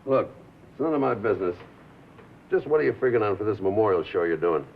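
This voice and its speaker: A man's voice: lowish voice, animated, fast, vocal fry, a little hiss or hum. A man playing a brash, defensive American bandleader in 1950s film drama style, loyal and slangy, with punchy wise-guy talk. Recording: muffled vintage film soundtrack.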